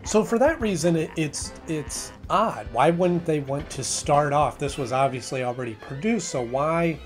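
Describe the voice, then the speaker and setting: A man's voice: on the high side, expressive, normal speed, soft background music. A man in his thirties giving a casual, conversational episode review to camera in a small room at home, often restarting his sentences. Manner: conversational, with frequent restarts and hedging. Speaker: show reviewer.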